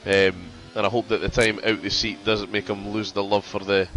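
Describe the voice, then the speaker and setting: A man's voice: on the low side, flat delivery, moderate pace, fairly bright tone, lo-fi sound, faint music behind. Congested man calling a race. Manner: chatty, repeats phrases, banters with co-commentator. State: congested with a cold. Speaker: sim racing commentator. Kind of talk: casual race commentary.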